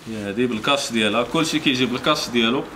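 Fast-talking Moroccan salesman, noisy recording. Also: medium pitch. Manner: repetitive, salesman-like patter. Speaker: shop seller presenting products. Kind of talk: informal product presentation. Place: small motorbike accessory shop, indoors. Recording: clearly noisy shop ambience.

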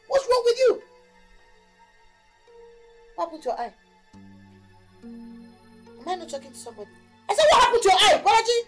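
An actress with a Nigerian accent playing a hot-tempered mother who scolds loudly in rapid outbursts. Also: very high voice.

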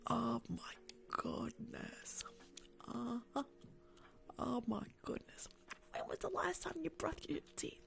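A woman's voice: on the high side, expressive, slow pace, vocal fry, long pauses, a bit bright. A young woman whispers close to the microphone in an ASMR roleplay as a rude dental worker, sassy and chiding, often repeating short phrases. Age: young adult. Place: small room at home, indoors. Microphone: large tabletop microphone, very close.

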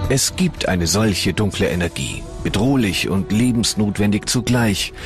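A man giving scripted documentary narration in a measured, solemn way. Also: on the low side. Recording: music bed under the voice.

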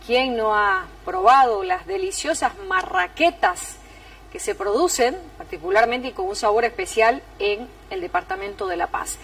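A woman's voice: high-pitched, very animated, normal speed, creaky, fairly bright tone, far from the mic, a little hiss or hum, low-quality recording. A middle-aged woman with a Bolivian Spanish accent presenting scripted news as an anchor in a TV studio.

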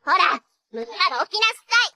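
A woman's voice: extremely high pitch, lively intonation, brisk, vocal fry, pushed and bright, heavily acted. A woman voicing a bubbly, clumsy teenage magical-girl idol in an anime, with a melodramatic, exclamatory and goofy delivery. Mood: feisty, demanding. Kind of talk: Japanese anime voice acting.

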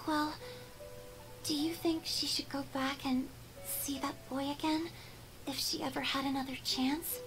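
A woman playing a gentle, hesitant young woman with a wistful manner in a video game. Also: high voice, flat delivery, a little slow, music in the background, poor audio. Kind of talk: video game character dialogue.

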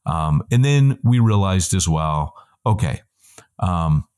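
A middle-aged man, a guest on a video call, giving a long, animated answer full of quick asides and self-corrections, heard through wired earbuds in a room at home. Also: sits low, clean tone. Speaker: guest, church planting trainer.

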